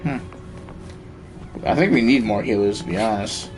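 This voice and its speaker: A man's voice: lowish voice, moderate pace, rough recording. A man chatting casually as a game streamer, slangy and laid-back. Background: faint game music.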